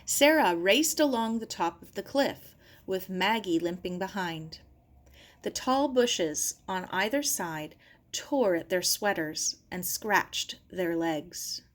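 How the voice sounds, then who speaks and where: Slow-paced woman reading a story. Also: lowish voice, lively intonation. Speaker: audiobook reader. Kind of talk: scripted story narration.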